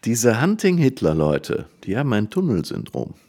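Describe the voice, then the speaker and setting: Sardonic reporter in a radio play: deep-ish, rough-edged, casual and sarcastic. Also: male voice, huge pitch swings, normal speed, darkish tone, exaggerated voice. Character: sardonic male radio reporter chatting with colleague. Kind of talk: casual scripted radio dialogue.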